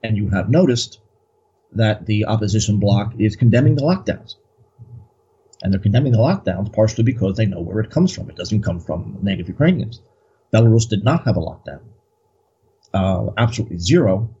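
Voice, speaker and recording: A man's voice: fairly low pitch, animated, a little fast, dark tone, breathy edge, highs cut off. A man as a guest commentator, talking in a rambling, hesitant way with many fillers. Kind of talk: casual political discussion.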